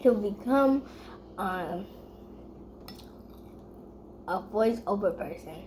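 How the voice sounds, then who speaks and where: A woman's voice: medium pitch, expressive, extremely slow, a little mellow, slight background noise. A daughter in a casual chat with her mother, shy and giving short answers, often giggling. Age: young.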